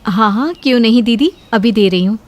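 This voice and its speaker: A woman's voice: fairly high pitch, brisk, close to an everyday voice. A woman voicing a sweet, modest and obliging young daughter-in-law in a cartoon. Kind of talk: cartoon dialogue.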